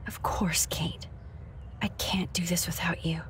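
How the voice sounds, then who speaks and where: A woman acting a caring, nerdy young student photographer in a video game, hushed and supportive, quietly determined. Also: on the low side, steady tone, unhurried, whispered. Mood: fond. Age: young adult.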